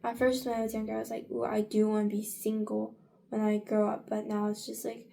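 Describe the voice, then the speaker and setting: Young woman with a creaky, dark voice. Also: mid-range voice, completely flat delivery, a little fast. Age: young adult. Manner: rambling, many fillers and restarts. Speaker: vlogger. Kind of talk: casual get-ready-with-me chat.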